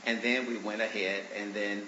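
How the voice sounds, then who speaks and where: A man arguing his own motions before a judge in a courtroom, speaking haltingly with many fillers and restarts, his voice congested from allergies. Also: fairly low pitch, little pitch movement, pushed and bright. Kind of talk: courtroom argument. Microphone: courtroom microphone on a stand. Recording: roomy, dull courtroom recording with faint hiss.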